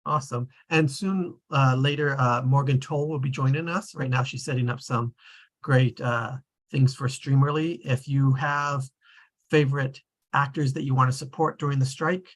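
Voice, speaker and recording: Casual host with a husky voice. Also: mid-range voice, steady tone, normal speed. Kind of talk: casual hosting of an online table read.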